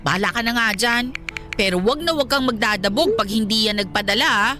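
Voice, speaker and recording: Good-natured young Filipina best friend for radio drama, played by a woman: bright, animated, coaxing and expressive. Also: on the high side, a little fast, soft background music. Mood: chiding, teasing.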